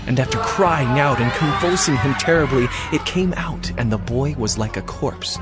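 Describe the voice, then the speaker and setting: Guy narrating bible story from script, medium pitch, music playing behind him. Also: normal speed.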